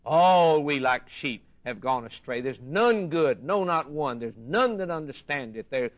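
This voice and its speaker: A man's voice: fairly high pitch, expressive, lo-fi sound. A man preaching a sermon as he expounds scripture, impassioned and emphatic, repeating phrases for weight. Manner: impassioned, emphatic, with deliberate repetition.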